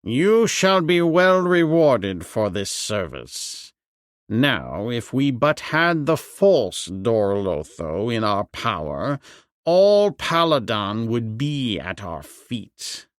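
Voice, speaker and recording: A man voicing a villainous, imperious high priest in an audiobook, slow and savoring his words. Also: sing-song, no echo, clean studio sound. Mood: gloating. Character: gloating, bony old villainous high priest.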